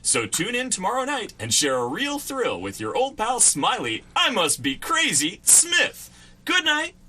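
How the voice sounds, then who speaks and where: A man's voice: sits high, wildly swooping pitch, unhurried, bright, forceful tone, voice pushed up, full character voice. A man doing a hammy old-time radio disc jockey. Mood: showman hype. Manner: showy, hammy announcer patter with chuckles. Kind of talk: 1940s radio acting.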